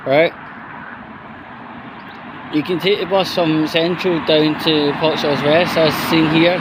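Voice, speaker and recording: Glasgow guy vlogging outside, slow unhurried talk, blunt and sweary, loads of traffic noise. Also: on the high side. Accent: Scottish, Glasgow area. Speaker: vlogger presenting a walking video. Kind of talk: casual off-the-cuff vlog chat. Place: outdoors by a roadside bus stop. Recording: outdoor street noise with passing traffic. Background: traffic and street ambience.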